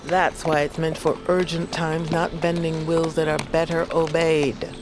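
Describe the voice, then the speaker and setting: An actress playing an old healer witch and grandmother in a fantasy audio drama, wry and wise, speaking in measured, cryptic storytelling phrases. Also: low voice, little pitch movement. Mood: wry, sorrowful. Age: older. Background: music behind the voice.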